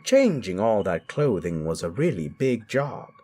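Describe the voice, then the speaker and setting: A man plays a polite and fussy widow from a spooky storybook. The voice is lifted above the narrator's usual depth and keeps a husky edge. The phrasing is theatrical and courteous. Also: on the low side, very animated, a little slow, a little mellow, voice pushed up. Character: polite, fussy widow in a folk horror tale.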